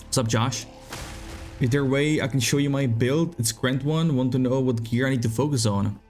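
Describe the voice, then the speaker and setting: A young man with a Czech accent talks loosely at his desk mic while he plays, reading out viewers' messages and cursing now and then. His voice sits at a middle pitch, and faint game music plays behind him. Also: normal speed, a little mellow. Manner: laid-back, swears, reads chat aloud. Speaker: game streamer. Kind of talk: casual live-stream chat.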